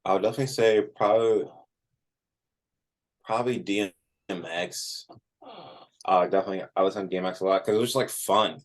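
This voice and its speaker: Man, low deep voice, musician guest, casual interview chat, informal phrasing, filler "like". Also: steady tone, fairly quick, pauses often.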